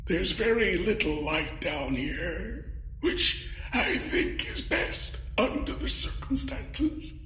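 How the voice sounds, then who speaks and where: A man voicing an ancient, mysterious captor in an audio drama, speaking politely and cryptically with quiet menace. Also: sits high, sing-song, takes it slowly, echoey room, pitched above the performer's own voice, full character voice, poor audio. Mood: calmly menacing. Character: ancient, mysterious captor creature, courteous and sinister.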